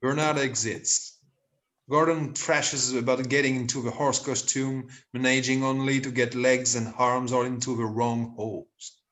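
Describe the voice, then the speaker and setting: A man's voice: mid-range voice, level tone, a little slow, a bit bright. A man giving scripted narration, reading out the stage directions of a comedy play.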